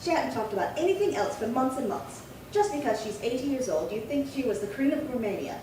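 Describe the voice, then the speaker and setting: A woman acts a resentful spinster of nearly eighty in a radio crime play. The voice is on the high side, querulous and old-fashioned in its phrasing. It sounds distant, in a very echoey space. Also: lively intonation, fairly quick, warm tone. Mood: aggrieved. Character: resentful, defiant spinster sister aged seventy-nine. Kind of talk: amateur re-creation of 1950s radio crime drama. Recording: roomy echo, distant mic, faint hiss.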